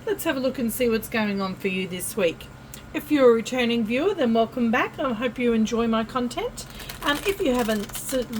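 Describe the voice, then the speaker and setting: A woman's voice: fairly high pitch, a little hiss or hum, poor audio. Chatty Australian woman reading tarot. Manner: chatty, friendly, with frequent fillers and restarts. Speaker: tarot reader. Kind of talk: casual tarot reading to camera.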